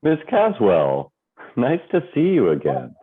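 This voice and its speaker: An actor playing a suave, cynical theater critic in a radio play, with a dry, deliberate, knowing delivery. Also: male voice, mid-range voice, wildly swooping pitch. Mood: smooth, sardonic. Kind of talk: theatrical radio drama acting. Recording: thin phone-like sound, music behind.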